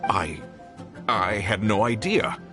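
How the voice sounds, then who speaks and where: A man's voice: on the low side, animated, slow. A man voicing a blustering, slippery merchant in a video game, with exaggerated indignation and oily politeness, over music. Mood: flustered. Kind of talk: scripted video game voice acting.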